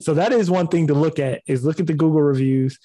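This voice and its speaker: A man's voice: fairly high pitch, fast, mellow, no echo. A man chatting casually on a podcast about rentals, laid-back and loose.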